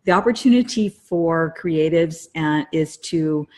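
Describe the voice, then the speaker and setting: A woman's voice: low-pitched, unhurried, a little mellow. A woman co-hosting a podcast, explaining things casually in a wordy way and rephrasing herself as she goes. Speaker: podcast co-host.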